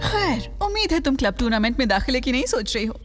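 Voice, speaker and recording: A snooty schoolgirl rival from a cartoon dub, taunting in a high, swooping voice. Music plays clearly behind her. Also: big pitch swings, quick pace. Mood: sneering. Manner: sneering, taunting.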